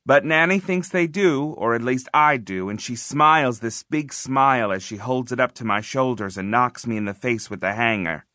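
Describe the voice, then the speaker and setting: A man reading a first-person children's novel as an audiobook narrator, expressive, confiding and conversational, with emphatic phrasing. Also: medium pitch, normal speed, few pauses, dry recording, clean studio sound.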